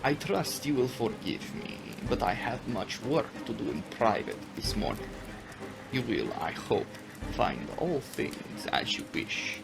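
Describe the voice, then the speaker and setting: A man voicing a courteous, menacing old vampire nobleman in a dramatic audiobook, with slow, deliberate, ominous formal phrasing. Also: medium pitch, very animated, gravelly. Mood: coldly courteous. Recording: music behind the voice.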